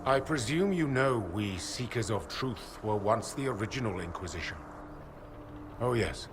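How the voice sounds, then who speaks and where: A male actor playing a proud, solemn leader of a zealous order in a video game, speaking in a measured, grave, oratorical manner. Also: fairly low pitch, expressive, background noise. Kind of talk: dramatic video game cutscene acting.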